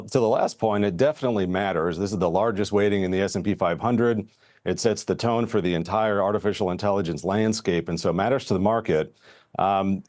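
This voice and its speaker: A man giving market views as a guest in a financial TV interview, measured and conversational. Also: deep voice, a little mellow, no echo, clean studio sound. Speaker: investment strategist guest.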